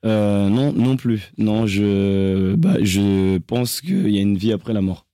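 A young man from France, a singer, answering interview questions in a hesitant, halting way with many restarts. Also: on the low side, warm tone, no echo, studio quality.

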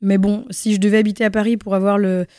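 Hesitant woman with a mellow voice. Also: mid-range voice, little pitch movement, quick pace, no echo, clean studio sound. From Guatemala. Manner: hesitant, many fillers and restarts. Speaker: singer and actress, interview guest. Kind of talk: casual radio interview answers.

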